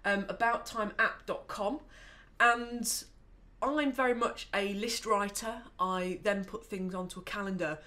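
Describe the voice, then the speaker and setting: A woman's voice: medium pitch, fairly even intonation, a little slow, bright, forceful tone. A woman co-hosting an app review show, explaining things in a casual, conversational way.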